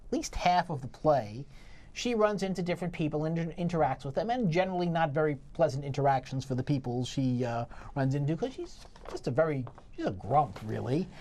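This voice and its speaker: A man's voice: a little high, a little mellow. Male co-host reviewing theatre, informal and mildly critical, feeling his way through sentences. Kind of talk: conversational review banter.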